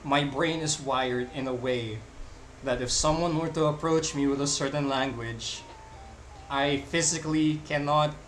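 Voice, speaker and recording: A man's voice: a little high, steady tone, a little slow, fairly bright tone, slight background noise, rough recording. A young man from the Philippines chatting casually with viewers while he draws live, rambling with lots of fillers. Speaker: live-drawing streamer. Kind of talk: casual live-stream chat while drawing.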